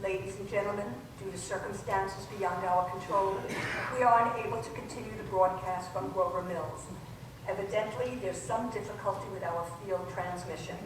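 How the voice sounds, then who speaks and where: A woman's voice: mid-range voice, steady tone, moderate pace, lightly acted. A woman playing a grave studio announcer reading formal, solemn bulletins in an old-time radio play. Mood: formal concern. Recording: echoey hall from far off, faint noise.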